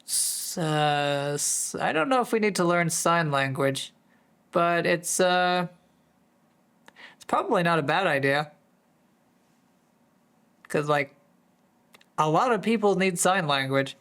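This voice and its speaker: A streamer with a voice that is going hoarse, chatting casually to viewers in a distracted, rambling way. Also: mid-range voice, expressive, takes it slowly, long pauses, a bit bright. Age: adult, working full-time. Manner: easily distracted, rambling with many restarts. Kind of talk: casual live-stream chat.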